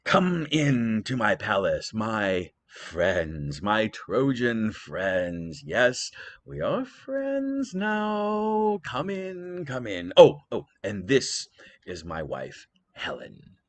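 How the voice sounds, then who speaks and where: A man putting on a hearty king from a storybook tale who welcomes his guests slowly and effusively. The voice jumps between high and low as he stretches his words. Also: big pitch swings, heavily acted. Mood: welcoming. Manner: drawn-out, effusive.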